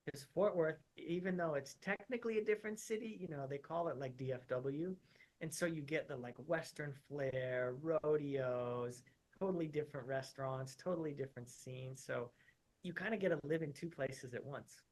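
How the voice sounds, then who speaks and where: A man's voice: fairly high pitch, moderate pace. Easygoing male surgeon, chatty interview guest. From Utah, USA. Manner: relaxed, chatty, uses "like" often. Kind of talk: casual interview answers.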